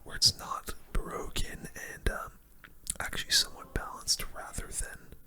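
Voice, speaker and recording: A gamer whispering softly and breathily into a close microphone, giving ASMR-style running commentary on play while musing aloud. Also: measured pace. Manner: soft whispering, mild swearing, musing aloud. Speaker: gaming video creator.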